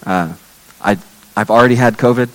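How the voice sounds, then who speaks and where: Male pastor, hoarse, losing his voice. Earnest, measured sermon delivery with a long hall reverb. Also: mid-range voice, a bit bright. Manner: earnest, measured preaching with scripture readings.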